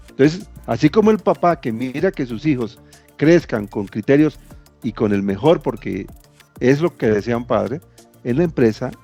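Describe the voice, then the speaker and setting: A man's voice: fairly high pitch, dark tone. A man on a business panel giving conversational advice, emphatic and addressing the listener directly. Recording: dull lo-fi audio, music behind.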